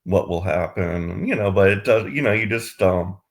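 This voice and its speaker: A man with a very low voice and a heavy gravelly fry speaks haltingly. He circles his thoughts, leaning on "you know" and "um" as he searches for what to say. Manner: hesitant, searching for words. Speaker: questioner in a Q&A. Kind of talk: casual conversation.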